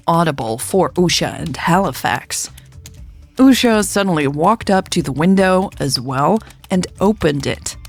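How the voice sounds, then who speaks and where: A woman's voice: low voice, vocal fry, husky, heavily acted. A woman playing a formal police officer, narrating an incident report in a factual, detached manner for an audio drama.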